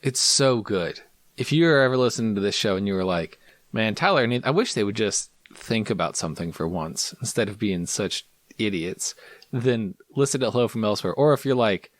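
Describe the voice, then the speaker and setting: A young man chatting on a movie podcast, his pitch swinging up and down in an animated way. He jokes a lot and teases himself. The sound is close and dry, with no room around it. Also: fairly quick, no room sound. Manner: animated, jokey, self-deprecating. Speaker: podcast co-host. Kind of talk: casual podcast chat.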